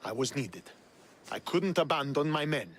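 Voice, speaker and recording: An actor playing a bitter, hostile Spartan commander who resents the hero. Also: male voice, medium pitch, sing-song, moderate pace, a bit bright. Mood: scornful. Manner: scornful, resentful. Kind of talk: video game cutscene acting.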